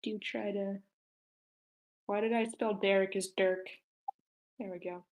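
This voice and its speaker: A woman's voice: medium pitch, steady tone, measured pace. A woman hosting an online table read, talking casually and politely as she organizes the group. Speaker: host of an online table read, casting parts. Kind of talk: casual group call chat. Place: online voice call.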